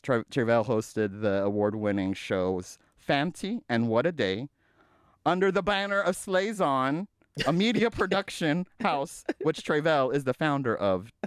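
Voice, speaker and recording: Podcast host from the South, playful teasing man with a lively voice. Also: on the high side, unhurried, smooth, no room sound, clean studio sound. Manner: playful, teasing, laughs often. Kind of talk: casual chat.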